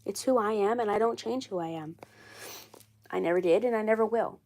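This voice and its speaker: A woman in her twenties chatting casually on a livestream, hoarse and froggy from a cold, rambling and repeating herself. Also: mid-range voice, brisk, a little mellow. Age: 20s. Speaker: doll collector livestreaming.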